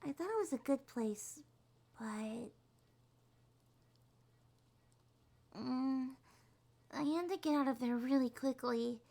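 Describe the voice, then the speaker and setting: A woman voicing a shy, sweet young succubus monster girl in a soft, breathy, hesitant ASMR roleplay. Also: high-pitched, lively intonation, measured pace, full character voice. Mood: nervous, explaining. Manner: hesitant, gentle, slightly stammering.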